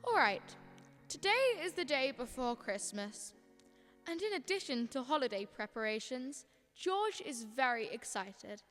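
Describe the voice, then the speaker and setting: A woman's voice: fairly high pitch, very animated, measured pace, music in the background. A young woman playing a patient, authoritative senior angel telling a story in a student radio drama. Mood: solemn storytelling. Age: young adult.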